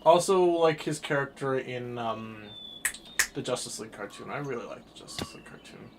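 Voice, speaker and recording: A twenty-year-old man streaming a game and chatting casually, rambling through his thoughts with sudden bursts of excitement. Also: medium pitch, moderate pace, background noise. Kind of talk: casual chat while livestreaming a game.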